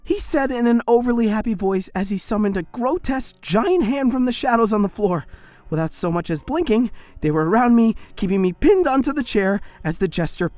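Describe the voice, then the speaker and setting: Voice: mid-range voice, expressive, fairly quick, a little mellow, no room sound, faint music behind, natural voice. Exasperated warden narrating, voiced by a performer. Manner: frantic, exasperated storytelling. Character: harried prison warden narrating in first person. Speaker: first-person narrator. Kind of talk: fan audio drama narration.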